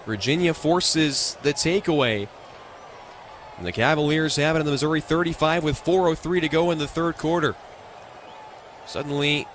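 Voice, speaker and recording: A man's voice: on the high side, fairly bright tone, slight background noise. An American man doing live radio play-by-play of a football game in clipped, present-tense phrases.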